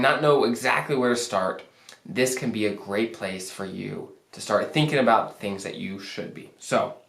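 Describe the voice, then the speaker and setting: A young man talks casually to his viewers, upbeat and chatty, his voice pushed deeper than usual by a lingering cold. His pitch sits in the middle for a man. The sound carries the ring of an echoey room. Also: vocal fry, fairly bright tone. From Arizona, US. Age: young adult. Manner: upbeat, conversational, self-joking. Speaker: financial planner hosting a channel. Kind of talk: casual advice talk to camera.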